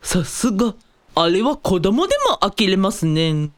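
A woman playing a flippant, wealthy male cult leader in a comic radio drama, with theatrical, over-polite speech and playful drawn-out exclamations. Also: medium pitch, big pitch swings. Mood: puzzled, relieved.

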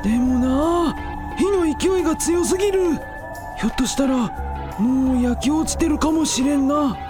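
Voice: high-pitched, normal speed, music in the background, close to an everyday voice. A performer in a radio drama voicing a worried, folksy elderly village man with fretful old-fashioned speech. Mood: anxious, fretful. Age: older.

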